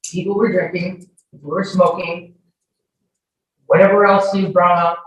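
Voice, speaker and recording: A woman's voice: on the low side, flat delivery, a little slow. A woman giving courtroom testimony as a witness, answering questions in an emphatic, defensive way. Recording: echoey, distant courtroom audio with faint noise.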